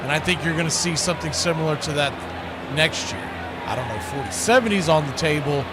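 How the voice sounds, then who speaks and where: High-voiced American baseball announcer, noisy background. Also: male voice, fast, over a noisy crowd. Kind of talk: live sports commentary with casual chat. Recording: loud background noise.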